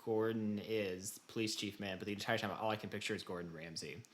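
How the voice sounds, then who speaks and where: A man's voice: lowish voice, steady tone, fairly quick. A man co-hosting a gaming podcast, chatting casually in a joking, rambling way. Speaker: podcast host.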